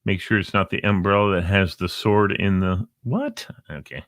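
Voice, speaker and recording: A man's voice: low-pitched, normal speed, a bit bright, dry recording, clean studio sound. A man hosting a casual show, rambling playfully with jokey asides about times and weather. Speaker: show host. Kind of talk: casual live show chat. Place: Northern Virginia.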